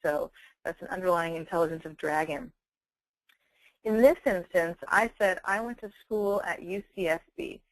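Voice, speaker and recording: A woman giving a webinar software demonstration, enunciating clearly as a presenter. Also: fairly low pitch, normal speed, no room sound, poor audio. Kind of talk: webinar product demonstration with dictation. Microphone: close handheld speech mic.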